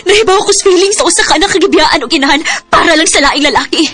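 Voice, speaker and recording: A woman's voice: very high voice, lively intonation, rapid-fire, soft background music. An actress playing Trisha, a young woman who learns her boyfriend is married, in a radio drama. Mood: resolute. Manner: soft and hesitant, then anguished and shouting.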